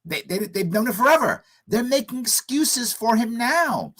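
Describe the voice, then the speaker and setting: Hoarse man hosting talk radio. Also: very high-pitched, lively intonation, normal speed, a bit bright. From United States. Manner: emphatic, repetitive political commentary. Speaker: radio talk show host.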